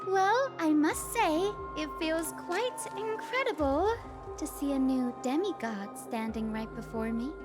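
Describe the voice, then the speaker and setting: A woman's voice: sits high, lively intonation, unhurried. A woman playing a gracious, optimistic young noble heroine in a fantasy game, speaking politely and earnestly. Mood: earnest, hopeful. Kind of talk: scripted fantasy game voice acting. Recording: music behind the voice.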